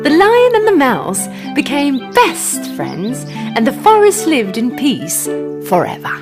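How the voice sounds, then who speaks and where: A woman storyteller reading a scripted children's fable in an expressive, dramatic way. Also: high voice, slow. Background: music.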